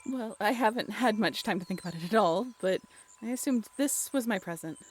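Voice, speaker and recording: A woman acting a bashful, affectionate young writer on a date in an intimate audio roleplay, halting and playfully teasing. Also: medium pitch, husky, close to an everyday voice. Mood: surprised, touched.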